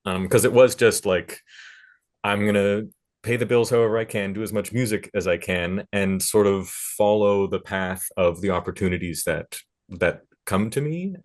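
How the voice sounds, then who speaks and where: A man's voice: lowish voice, moderate pace. A man in his thirties speaking as a panelist in a casual, reflective way, easygoing and colloquial. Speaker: panelist, music professional.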